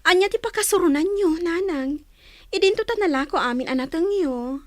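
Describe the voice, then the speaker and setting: A woman's voice: very high-pitched, animated, normal speed, no echo, studio quality. A woman playing an inquisitive, practical, teasing grown daughter in an Ilocano radio drama, with an Ilocano accent. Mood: curious, teasing.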